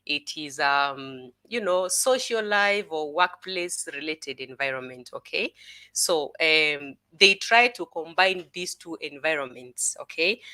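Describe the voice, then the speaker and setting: Bright-voiced woman tutor, halting explanation. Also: low voice. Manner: frequent "uh" and "you know" fillers, repeats words, checks with "okay?". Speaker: IELTS tutor. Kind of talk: unscripted teaching explanation.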